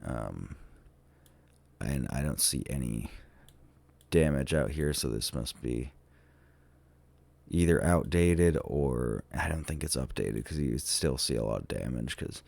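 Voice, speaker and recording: Very deep, gravelly-voiced man with a cold. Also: expressive, darkish tone, no echo. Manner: hesitant and musing, many ums and trailing pauses. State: sick with a cold. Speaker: vlogger touring tornado damage on a map. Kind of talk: casual thinking-aloud commentary.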